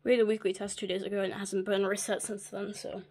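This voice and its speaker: A hobbyist showing off a collection in a casual, halting, offhand way, filmed on a handheld device in a bedroom. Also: mid-range voice, little pitch movement, heavy background noise. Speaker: hobbyist video maker. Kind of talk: casual show-and-tell of a collection. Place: small carpeted bedroom, indoors. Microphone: handheld phone or camera, moving around.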